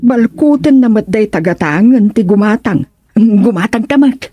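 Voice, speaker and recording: A woman's voice: mid-range voice, expressive, moderate pace, mellow, slightly rough, dry recording. A woman playing a curious, coaxing wife in a radio comedy drama.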